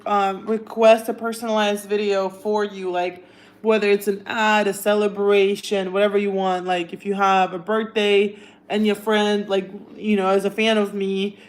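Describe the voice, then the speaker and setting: Young woman, Russian accent. Chatty livestream host, easygoing tone, reads viewer questions aloud. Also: medium pitch. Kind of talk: casual livestream chat.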